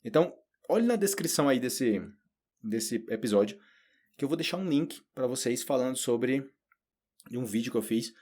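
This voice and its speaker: A Brazilian man with a medium-pitched voice talks through a relaxed language lesson. He is chatty and lively and slips into little acted-out thoughts as he explains. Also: moderate pace. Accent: Brazilian Portuguese. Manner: chatty, animated, acts out quoted inner thoughts. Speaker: Portuguese teacher. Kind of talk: casual spoken lesson.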